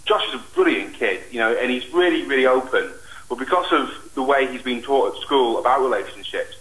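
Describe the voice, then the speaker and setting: An echoey call from a young man. His voice is middling in pitch and very bright. He gives a personal account in an earnest, halting way. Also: animated. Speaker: phone-in caller.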